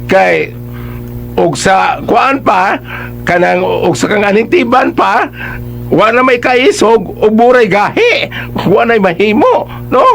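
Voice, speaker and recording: Animated, high-voiced Cebuano man on radio. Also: slow pace, vocal fry, slight background noise. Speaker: radio advice programme host. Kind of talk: conversational advice talk.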